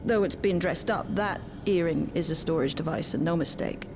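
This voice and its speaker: An actress voicing a curious, matter-of-fact intelligence specialist in a video game, informative and conversational. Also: female voice, on the low side, normal speed, noisy background, natural voice. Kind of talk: video game cutscene acting.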